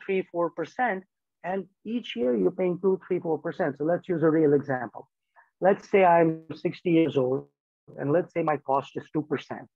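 Animated man lecturing on a video call. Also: sits high, no room sound. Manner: animated, gestures widely, works through money examples step by step. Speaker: insurance speaker. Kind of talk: lecture on estate planning to a community group. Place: small room at home, plain wall behind. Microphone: laptop webcam on a video call. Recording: dry, slightly dull video-call audio.

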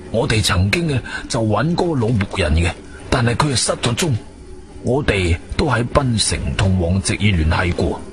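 An actor with a Cantonese accent playing an awkward, apologetic police colonel in a radio drama, hesitant and placating. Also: male voice, lowish voice.

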